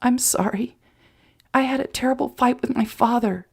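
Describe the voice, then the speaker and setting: A woman reading an injured, anxious young woman who speaks softly and often near a whisper. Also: fairly high pitch, moderate pace, creaky, breathy edge, voice pushed up. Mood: quiet, bitter. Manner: soft, strained, often whispery.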